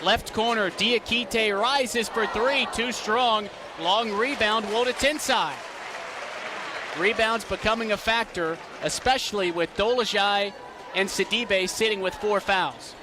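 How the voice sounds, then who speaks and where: A young man reporting and calling a live basketball game in clipped announcer phrasing, as on a sports broadcast. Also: sits high. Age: young adult. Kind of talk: live sports reporting and radio play-by-play commentary. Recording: noisy arena, crowd behind the voice. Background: crowd noise, bouncing basketball.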